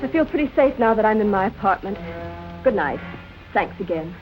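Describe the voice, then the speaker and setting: A woman acting as a jilted, nervous young woman in an old film noir, vengeful and dramatic. Her medium-pitched voice sits in a dull vintage soundtrack with music behind it. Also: expressive, brisk, close to an everyday voice. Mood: nervous, guarded.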